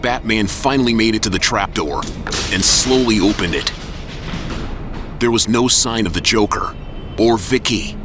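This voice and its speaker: A man narrating an audio drama in a steady, measured storytelling style. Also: on the low side, music in the background.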